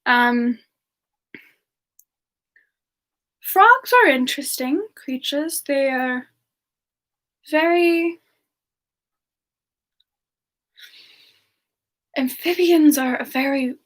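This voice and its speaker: A woman voicing a gentle, affectionate female frost demon in a semi-ASMR fan Q&A, soft-spoken and hesitant, drifting toward whispers. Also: sits high, exaggerated voice. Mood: musing, casual. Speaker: ASMR character performer answering viewer questions. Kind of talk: semi-ASMR in-character livestream Q&A.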